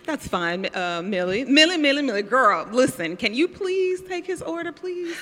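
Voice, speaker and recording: A woman's voice: sits high, sing-song, moderate pace, fairly bright tone, in a large hall. A woman playing a sassy, wheedling, man-chasing waitress with slangy talk in an improvised radio comedy. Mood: pleading.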